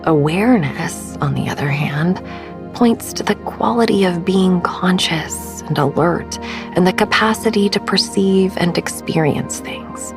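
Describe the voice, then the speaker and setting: A woman narrating a guided sleep meditation as host, soft and breathy, soothing and unhurried, often trailing into a whisper. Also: on the low side. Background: soft background music.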